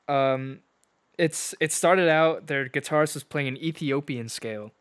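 A man's voice: on the high side, measured pace, no room sound, studio quality. A young man co-hosting a podcast, chatting casually about music, rambling with lots of "like" and laughing easily. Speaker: podcast co-host.

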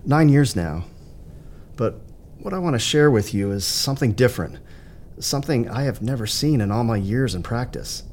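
A man playing an earnest, troubled psychiatrist in an audio drama, speaking in a measured, polite, halting way. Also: mid-range voice, expressive, darkish tone. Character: earnest, hesitant male psychiatrist, troubled but professional.

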